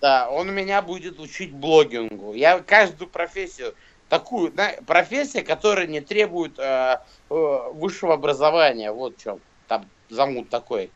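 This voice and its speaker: Thirties man, slangy and rambling, pitched a bit high, dull lo-fi call audio. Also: lively intonation, normal speed, a bit bright. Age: 30s. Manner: slangy, swearing, rambling with many fillers. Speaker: rapper and guest. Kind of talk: casual video call chat. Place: indoors, small room. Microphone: phone camera close to face.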